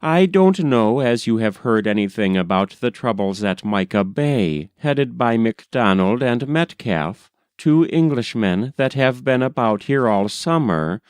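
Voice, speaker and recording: A man reading an old letter aloud as scripted narration, in a measured, deliberate manner with formal, old-fashioned phrasing. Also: medium pitch, smooth, no room sound, clean studio sound. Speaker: reader.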